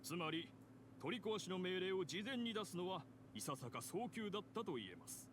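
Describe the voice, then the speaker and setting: Formal anime game judge man, impartial rulings, kinda high voice, talks fast. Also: lively intonation, noisy background. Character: impartial, formal male debate moderator and judge. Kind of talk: anime game voice acting in Japanese.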